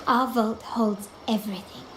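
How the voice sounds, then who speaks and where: A woman playing a poised young heiress in a video game, speaking in a composed, formal, measured way. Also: a little high, slight background noise, close to an everyday voice. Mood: calm, confident. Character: poised young heiress of a powerful merchant family. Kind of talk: scripted video game voice acting. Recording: roomy echo, music behind. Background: game music.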